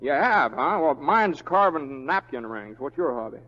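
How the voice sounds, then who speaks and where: An actor playing a mild, unflappable young deputy in a radio Western, speaking in a folksy, hesitant drawl. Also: male voice, on the high side, very animated, normal speed, bright, forceful tone. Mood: mild, amiable. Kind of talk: 1930s-40s radio acting. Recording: muffled old radio broadcast.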